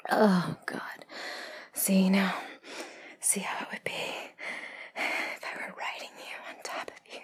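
A woman whispering in a breathy, sultry, teasing voice in an intimate adult roleplay audio, with moans between phrases. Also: medium pitch, fairly even intonation, slow, long pauses.

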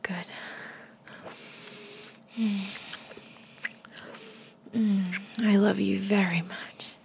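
Slow, breathy whispering woman, gravelly. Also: medium pitch, fairly even intonation, a little mellow, slight background noise. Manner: whispering, teasing and commanding. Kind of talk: whispered intimate audio roleplay.

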